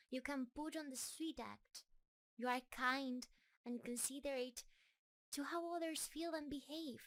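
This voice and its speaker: A woman's voice: high voice, a bit bright. A woman playing a shy, lovestruck giant spider creature in a roleplay audio drama, speaking tenderly and hesitantly to the listener. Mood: gentle, wistful. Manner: nervous, hesitant, tender, halting.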